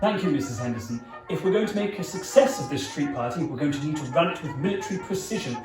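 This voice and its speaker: A man playing a brisk, officious wartime villager in a period drama, speaking with pompous, orderly precision. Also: fairly high pitch, animated, close to an everyday voice. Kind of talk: amateur filmed period drama acting. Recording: echoey room, distant mic, loud music.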